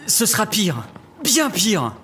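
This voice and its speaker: A man's voice: high-pitched, huge pitch swings, takes it slowly, bright, forceful tone, slight background noise, full character voice. A man playing a frightened, evasive old doctor in an audio drama, speaking in a hesitant, apologetic and dramatic way. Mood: terrified.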